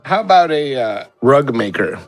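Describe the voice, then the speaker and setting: A man's voice: medium pitch, big pitch swings, normal speed. A man with a mid-range voice plays a tabletop fantasy game. He role-plays casually and politely, with faint music behind him. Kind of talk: casual in-character role-play.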